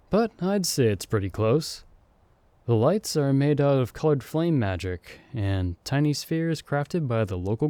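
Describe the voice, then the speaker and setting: A man's voice: mid-range voice, warm tone, clear, resonant tone, dry recording, close to an everyday voice. A man playing a playful young man, the hero's friend who was summoned along with him, in an ASMR audio roleplay. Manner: teasing, friendly and upbeat. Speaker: voice actor.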